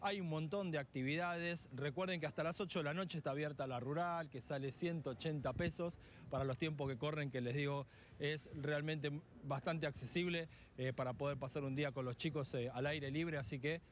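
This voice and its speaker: A middle-aged Argentine man working as a TV field reporter, chatting in a halting, casual way and often repeating himself. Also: on the high side, fairly even intonation. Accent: Argentine, Buenos Aires area. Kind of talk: live outdoor broadcast, casual street interviews. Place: outdoor fairground in open air. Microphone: handheld reporter microphone. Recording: noisy outdoor live TV feed.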